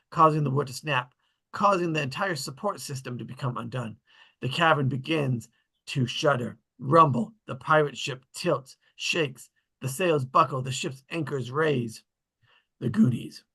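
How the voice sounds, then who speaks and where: A man's voice: medium pitch, creaky, pushed and bright, slightly rough. A man reading screenplay stage directions as scripted narration at a table read.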